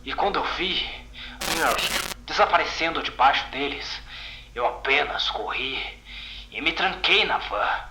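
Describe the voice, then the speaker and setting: A man's voice: on the high side, animated, measured pace, echoey room, background noise. A Brazilian man playing a shaken, bitter containment agent recounting a horrifying mission, intense and haunted, in an audio horror story.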